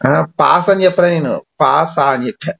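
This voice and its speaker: A man's voice: on the high side, moderate pace, vocal fry, fairly bright tone, a little hiss or hum. A man chatting casually on the phone in a playful, teasing, evasive way. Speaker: prank caller. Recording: muffled lo-fi phone call recording.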